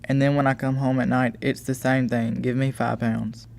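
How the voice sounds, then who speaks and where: A teenage boy plays a flustered master of the house in a school radio play. He is a chatty, joke-loving gentleman who stammers as he tries to please. His voice is heavy with fry and moves over a fairly flat range. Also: mid-range voice, moderate pace, close to an everyday voice. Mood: chatty, amused. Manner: stammering, talkative, eager to please.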